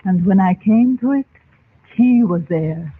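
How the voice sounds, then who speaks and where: Casting: enigmatic Egyptian princess, formal and grave, husky edge, thin old radio sound. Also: female voice, medium pitch, animated. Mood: grave, recalling terror. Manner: measured, formal speech. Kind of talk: old-time radio drama acting. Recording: muffled, tinny vintage radio recording.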